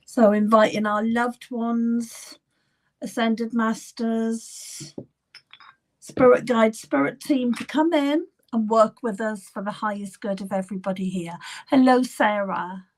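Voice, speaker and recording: A middle-aged woman medium talks to her live audience in an unhurried, gentle way, her voice somewhat high and still a touch croaky. She greets viewers by name and sometimes drops to a soft whisper. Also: fairly even intonation, low-quality recording. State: slightly croaky voice. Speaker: psychic medium. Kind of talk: live-streamed reading session, chatty.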